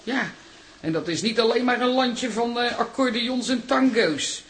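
A woman playing a chatty, excitable older toilet attendant in a radio play, flustered and stammering. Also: on the high side, a little slow, a little hiss or hum, close to an everyday voice. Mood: confiding, gossipy.

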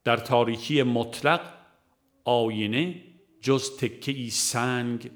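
Man, audiobook narrator, medium pitch, measured formal reading, scripted religious text. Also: fairly even intonation.

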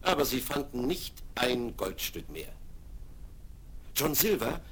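A man's voice: medium pitch, big pitch swings, takes it slowly, a bit bright, slightly rough. A man narrating an adventure radio play in scripted storytelling style. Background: music.

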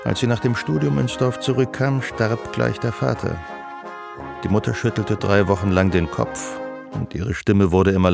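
A man narrating a literary radio drama in a scripted, measured storytelling style, with a gravelly voice. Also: on the low side.

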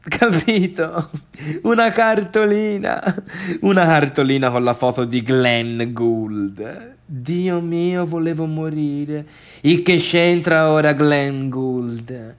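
Guy doing chatty gossipy tuscan villager, grumbly, colloquial, radio play monologue. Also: a little high. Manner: colloquial, grumbling, exclamatory storytelling. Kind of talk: theatrical comic monologue for radio drama.

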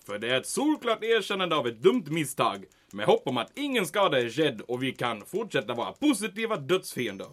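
Man acting as a commandant, highish bright voice, swooping delivery, pompous, comic wartime radio play. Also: sing-song, few pauses. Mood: delighted, pompous. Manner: pompous, exclaiming. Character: excitable, self-satisfied male German wartime commandant. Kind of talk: comedic audio drama acting.

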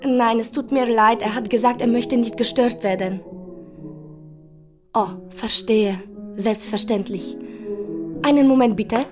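A woman's voice: a little high, fairly even intonation, a little slow, pauses often, natural voice. An actress playing a polite, deferential woman answering the telephone, courteous and careful, in a German radio play. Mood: polite, apologetic. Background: music.

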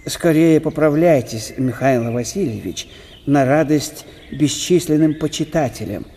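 An actor playing a polite, officious eighteenth-century professor in a radio drama, speaking formally and pompously. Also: male voice, fairly high pitch, measured pace, mellow. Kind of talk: radio drama, letter read in character. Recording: long hall reverb.

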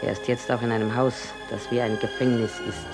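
A woman's voice: extremely low pitch, flat delivery, a little fast, over loud music. An actress in a German radio drama playing a sick woman in an asylum, self-mocking, teasing and wistful. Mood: wistful, reminiscing.

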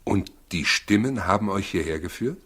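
An actor in a 1960s German radio play, playing Joseph as a brooding, earnest, humble new father with a husky voice. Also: low voice, expressive, normal speed, fairly bright tone. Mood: guarded, polite.

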